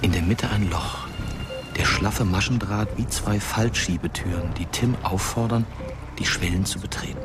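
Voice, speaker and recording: An actor playing a wistful dead teenage boy, narrating a radio drama quietly and intimately over music. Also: sits low, moderate pace, lightly acted. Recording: music bed behind the voice. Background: loud atmospheric music.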